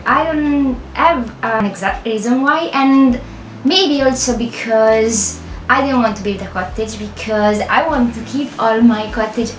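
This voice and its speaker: A woman's voice: on the high side, roomy sound, slight background noise, low-quality recording. Chatty Italian woman, rambling voiceover. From Italy. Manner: rambling, self-correcting, chatty with small laughs. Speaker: game builder and video creator. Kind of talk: casual voiceover over gameplay.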